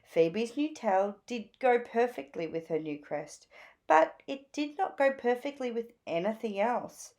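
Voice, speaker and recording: Female read-aloud narrator for picture books: expressive, slow and careful, on the high side. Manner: measured, expressive. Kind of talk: expressive children's storybook narration.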